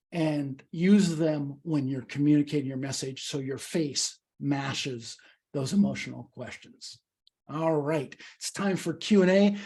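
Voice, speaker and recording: Guy teaching a speaking workshop, bit high and husky, talks emphatic and chatty. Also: warm tone. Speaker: public speaking workshop presenter. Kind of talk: instructional talk with questions and answers.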